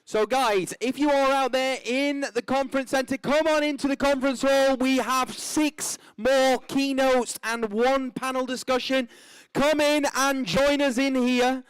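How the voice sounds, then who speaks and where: Loud, high-voiced male event host. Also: fairly even intonation, a little slow, clean tone, dry recording, low-quality recording. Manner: loud, upbeat, rallying the crowd. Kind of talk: event hosting. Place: conference hall.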